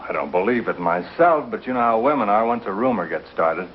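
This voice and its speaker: Easygoing frontier townsman, hesitant, animated pitch, vintage TV Western, muffled recording. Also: fairly quick. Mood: reluctant. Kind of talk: 1950s television Western acting. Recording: dull old TV soundtrack, faint noise.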